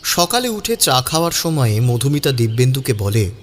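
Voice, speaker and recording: A man's voice: medium pitch, big pitch swings, normal speed, a little hiss or hum. A man narrating a scripted story in a dramatic audiobook style. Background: background music.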